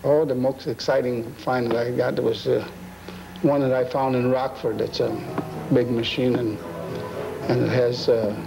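A man's voice: medium pitch, little pitch movement, a little slow, gravelly, poor audio. A man in his sixties chatting casually in an interview, folksy and plainspoken. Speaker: antique machine collector being interviewed. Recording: old videotape with music behind.

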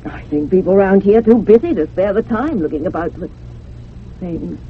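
Guarded village housekeeper played by an actress, lively pitch. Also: female voice, normal speed, lightly acted. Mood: guarded, evasive. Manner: plain rustic phrasing, evasive. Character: guarded village housekeeper, deferential, evasive widow. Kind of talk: radio drama dialogue. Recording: noisy, phone-like old radio sound.

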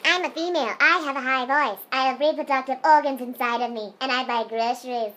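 A young woman in a casual home video with friends, speaking in a squeaky chipmunk-like voice from breathing helium, playful and giggly. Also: a little fast. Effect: helium-raised squeaky voice. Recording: loud background noise.

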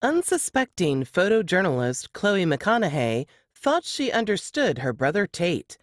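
A woman's voice: deep voice, no echo, studio quality. A woman reading scripted narration in a dramatic thriller-trailer style. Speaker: book blurb narrator.

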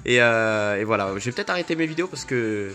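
A man's voice: mid-range voice, lively intonation, fast, bright, forceful tone. A man talking casually and off the cuff as a video host, in an informal, hesitant way with repeated phrases. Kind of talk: casual off-the-cuff chat introducing music. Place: home living room, off camera.

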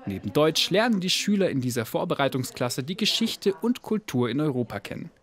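Casting: male news voice-over, calm and even. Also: on the high side, fairly quick. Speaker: narrator. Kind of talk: scripted news narration.

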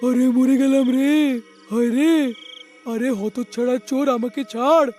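A man's voice: extremely high pitch, steady tone, normal speed, darkish tone, faint music behind. A man voicing a flustered, plaintive village policeman in a cartoon, exclaiming in an overwrought way. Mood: panicked, whining.

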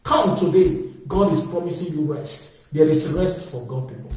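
A man preaching with fervent, repetitive calls that swell with emphasis. His slightly high voice rings through a heavily echoing space, heard from some distance off the mic. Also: normal speed, darkish tone. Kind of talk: evangelistic sermon.

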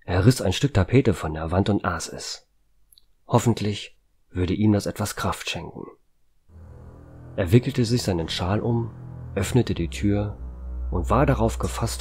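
A man's voice: low voice, darkish tone. A man reading dramatic scripted narration of a horror audio story. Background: background music.

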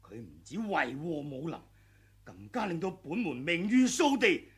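An actor playing a scheming, greedy old martial arts master in a Hong Kong Cantonese radio drama, with a husky, mocking delivery. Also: male voice, fairly high pitch, sing-song, unhurried, full character voice. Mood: self-justifying. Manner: sneering, mocking. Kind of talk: 1980s radio drama acting.

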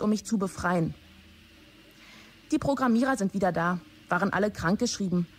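A woman playing an aggrieved office assistant in a radio drama, confiding in a matter-of-fact way with a husky voice. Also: mid-range voice, darkish tone.

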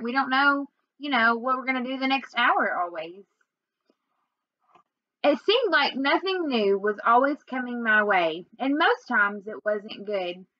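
Young female storyteller, high register, expressive read-aloud delivery, close dry room sound. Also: no echo, highs cut off. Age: young adult. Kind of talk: read-aloud of a children's novel. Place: small indoor room at home.

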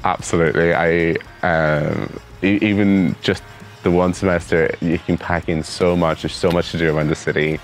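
A man's voice: deep voice, flat delivery, moderate pace, faint music behind. A young man with a Northern Irish accent, an exchange student answering interview questions enthusiastically in an outdoor courtyard on a lapel mic. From Northern Ireland. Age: young adult. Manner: enthusiastic, repeats words.

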